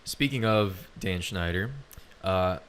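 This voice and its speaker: A man's voice: sits low, slow, a bit bright, slight background noise. A young American man co-hosting a podcast in a casual, chatty style. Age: young adult. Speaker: podcast co-host. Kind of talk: casual chat.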